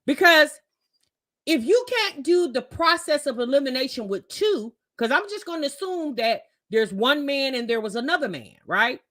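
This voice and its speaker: A woman's voice: high voice, sing-song, moderate pace, fairly bright tone. A woman giving casual, opinionated commentary as a reaction host, talking emphatically and colloquially with frequent rhetorical questions.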